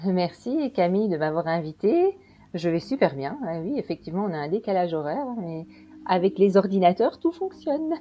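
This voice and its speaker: A woman's voice: lowish voice, very animated, moderate pace, highs cut off. A woman from Belgium, chatting as an interview guest and telling her story with frequent hesitations. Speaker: guest, quantum practitioner.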